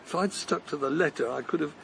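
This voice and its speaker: A worn-out older pilgrim, gravelly and halting. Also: male voice, fairly high pitch, noisy background. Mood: defeated. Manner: hesitant, stammering, apologetic. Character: weary older man walking a long pilgrimage. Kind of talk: radio drama acting.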